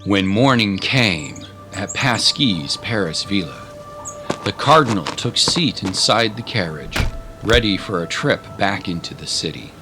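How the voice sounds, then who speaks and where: A man's voice: on the low side, animated, measured pace, bright, forceful tone. A man narrating a scripted adventure story in a dramatic style. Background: music.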